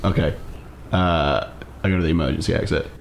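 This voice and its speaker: A man's voice: a bass voice, fairly even intonation, brisk, vocal fry, slight background noise. A man playing a tabletop roleplaying game in a casual, laid-back, slangy manner.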